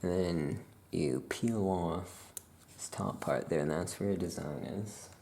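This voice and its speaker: Very low, slow, creaky soft-spoken ASMR shopkeeper. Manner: casual, hesitant, drawn-out musing. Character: laid-back witch shopkeeper chatting with a customer. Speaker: ASMR performer role-playing a witch shopkeeper.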